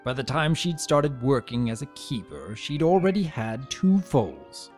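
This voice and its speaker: A man's voice: mid-range voice, very animated, a little mellow. Guy doing a smug sarcastic trickster monster telling a story, super swoopy theatrical delivery, spiteful, bit of music behind. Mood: sarcastic, irritated. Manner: theatrical, sneering, drawn-out emphasis. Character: vain, spiteful, sarcastic male trickster creature trapped as statue. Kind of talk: dramatic first-person story reading.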